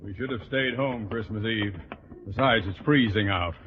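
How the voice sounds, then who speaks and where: A man's voice: on the low side, moderate pace, over music, natural voice. A man acting a grouchy, pompous middle-aged school principal with an American accent in an old-time radio sitcom. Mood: grumbling. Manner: grumpy, blustering, pompous. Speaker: actor. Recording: muffled, very noisy vintage radio recording.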